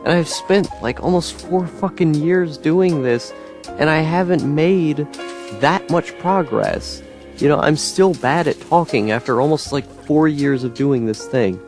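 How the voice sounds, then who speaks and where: A young man talking to camera in a rambling monologue with a droning, monotone voice, full of ums, restarts and frustrated swearing. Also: on the high side, a little slow, faint music behind. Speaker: YouTuber. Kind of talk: personal monologue.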